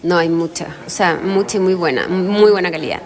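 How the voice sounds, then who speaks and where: A woman's voice: fairly low pitch, brisk. A woman with a Chilean accent, speaking as a guest in a casual interview and thinking aloud with frequent fillers and restarts. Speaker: guest illustrator and author. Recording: crowd chatter in the background. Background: crowd chatter.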